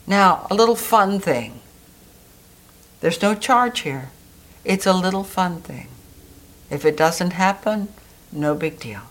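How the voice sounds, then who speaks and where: A woman guiding a meditation in a soft, gentle, soothing way. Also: fairly low pitch, expressive, slow pace, long pauses. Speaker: meditation guide. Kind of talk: guided meditation.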